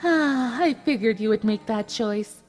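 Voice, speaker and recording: Woman voicing a high, smug unicorn villainess in a hall. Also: measured pace, darkish tone, natural voice. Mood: smug, taunting. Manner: taunting, mock-polite, snapping. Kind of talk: dramatized fan fiction reading. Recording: long hall reverb, music behind.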